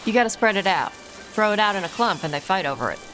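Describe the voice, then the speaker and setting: A woman's voice: mid-range voice, lively intonation, fast, a bit bright, soft background music, lightly acted. A woman playing a blunt, prickly young horned warrior who breathes fire, informal video game dialogue. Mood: annoyed.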